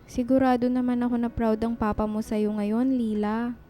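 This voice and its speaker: A woman's voice: a little high, little pitch movement, moderate pace, warm tone, lightly acted. A woman playing a teasing, supportive young best friend in radio drama dialogue, chatty in manner. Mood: nosy, caring.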